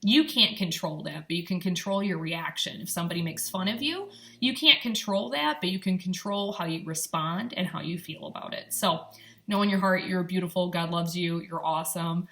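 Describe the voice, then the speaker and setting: A woman's voice: fairly low pitch, moderate pace, sharp tone. A young woman from Michigan with a light Upper Midwest accent, chatting encouragingly in a casual vlog with plenty of ums and likes. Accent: Upper Midwest American. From Michigan, USA. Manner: upbeat, encouraging, chatty with many fillers. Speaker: vlogger.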